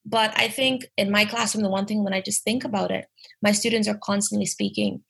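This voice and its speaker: Young Bahamian woman teacher, lively and emphatic, gravelly husky voice. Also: mid-range voice, brisk. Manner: lively, emphatic, restarts phrases. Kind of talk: interview answers.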